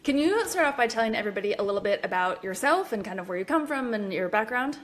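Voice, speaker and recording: A woman hosting a podcast, reading a friendly, upbeat scripted intro and asking warm, slightly hesitant questions. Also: a little high, expressive, fast talker, slight background noise. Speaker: podcast host.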